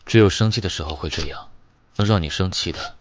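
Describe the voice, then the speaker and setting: Low-voiced, hardened young ex-soldier played by a man. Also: slight background noise, close to an everyday voice. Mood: cool, shrewd. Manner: commanding, terse, unhurried. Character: hardened, defiant young ex-soldier, cool and world-weary. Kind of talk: dramatic voice acting for animated comic. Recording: music behind the voice. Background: dramatic music.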